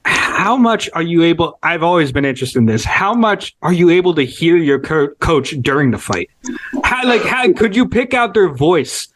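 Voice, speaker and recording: A man's voice: fairly high pitch, big pitch swings, normal speed, fairly bright tone, no room sound. Curious male podcast host, dry mic. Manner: curious, asks long rambling questions. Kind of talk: casual podcast interview.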